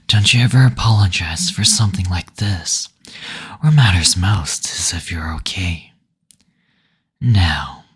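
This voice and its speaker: A man playing a gentle, teasing demon in an intimate roleplay audio, speaking in a breathy, soothing, attentive way. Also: deep voice, heavily acted. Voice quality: breathy, husky. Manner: slow, soothing, tender, attentive. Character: gentle teasing male demon, brothel receptionist.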